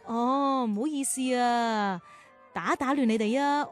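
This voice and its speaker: A woman's voice: a little high, unhurried, soft background music, lightly acted. A woman playing a responsible, caring big sister who guides schoolchildren in a radio drama. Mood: apologetic.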